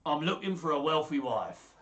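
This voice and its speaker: A brash fortune hunter from a storybook, put on by a man reading aloud. He talks grandly and confidently, swaggering and boasting, with strongly varied pitch. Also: big pitch swings, normal speed, a bit bright.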